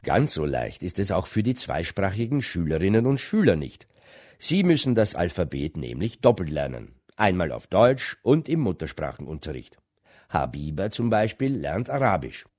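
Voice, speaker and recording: Man, low voice, husky, lively radio narration. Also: normal speed, no echo, studio quality. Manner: lively, emphatic reading. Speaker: radio reporter. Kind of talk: scripted radio feature narration.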